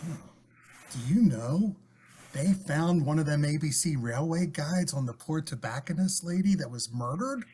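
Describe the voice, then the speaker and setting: A man's voice: a little high, lively intonation, moderate pace, dark tone, slightly rough. A man playing a chatty, earnest, plain-spoken young man in a radio play. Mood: musing. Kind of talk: old-time radio play acting.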